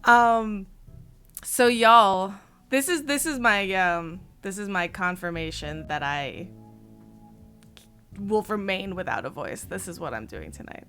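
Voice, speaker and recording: A woman hosting a laid-back livestream, her voice hoarse and frayed. She speaks slowly, giggling as she chats with her viewers, with faint music behind her. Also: medium pitch, pushed and bright. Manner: giggly, chatty, talks to chat. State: hoarse, partly lost voice. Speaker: streamer host. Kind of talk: casual livestream chat.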